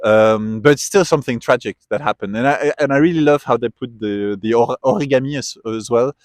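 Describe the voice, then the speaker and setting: Man, interviewee, mid-pitched, reflective and halting, dry close mic. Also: no room sound. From Switzerland. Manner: thoughtful, reflective, trailing off and restarting. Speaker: interviewee, tourist. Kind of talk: casual street interview. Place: outdoors at a memorial site.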